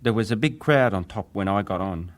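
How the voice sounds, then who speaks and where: A deep-voiced young ship's wireless operator , played by a male actor, testifies at a dramatized hearing. He answers with measured deference, sometimes hesitating, as a survivor choosing his words. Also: close to an everyday voice. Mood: subdued, deferential.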